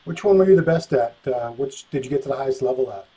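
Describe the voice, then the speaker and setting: Husky rambling male interview host. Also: mid-range voice, animated, quick pace, warm tone, rough recording. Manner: rambling, self-described scatterbrained. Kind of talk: casual interview.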